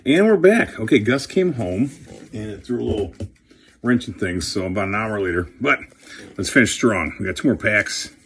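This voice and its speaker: A man chatting casually and excitably while opening trading card packs, swearing now and then. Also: on the low side, normal speed, a little hiss or hum. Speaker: career coach and card collector host.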